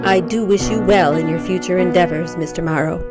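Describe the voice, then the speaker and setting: Cold commanding villainess, acted by a woman, curt, formal, medium pitch, music behind. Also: fairly even intonation, brisk, lightly acted. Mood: stern, menacing. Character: cold, commanding female crime boss. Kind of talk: amateur audio drama acting.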